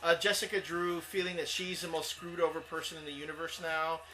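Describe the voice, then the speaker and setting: A man's voice: on the high side, level tone, brisk, pushed and bright, poor audio. A man co-hosting a casual comic book talk show, rambling and restarting as he defends his opinion. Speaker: co-host.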